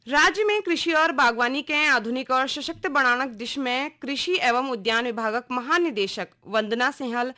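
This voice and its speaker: Woman, Kumaoni accent, high-pitched, crisp forceful tone, formal radio news reading, measured scripted delivery.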